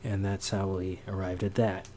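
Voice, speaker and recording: A man giving an informal sound design tutorial, halting as he thinks aloud. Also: deep voice, flat delivery, warm tone, a little hiss or hum.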